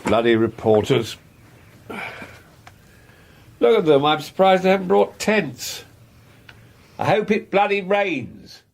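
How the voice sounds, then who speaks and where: An actor playing a reflective older English barrister, measured, clipped and wistful, in a radio drama. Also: male voice, high voice, lively intonation, creaky, a little hiss or hum, full character voice. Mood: irritated. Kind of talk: radio drama acting, introspective monologue and dialogue.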